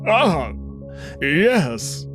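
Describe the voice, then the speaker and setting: Man voicing an emotional wolf prince, wildly swinging pitch. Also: sing-song, extremely slow, music in the background, full character voice. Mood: submissive. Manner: pleading, forceful, emotional. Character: Amicus, desperate defiant young male alien wolf prince.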